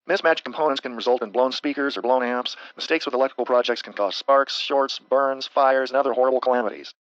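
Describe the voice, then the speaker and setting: A man's voice: medium pitch, quick pace, no room sound, dull audio. A man presenting a casual DIY tutorial in a plain-spoken, lightly wry way. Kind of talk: casual how-to narration.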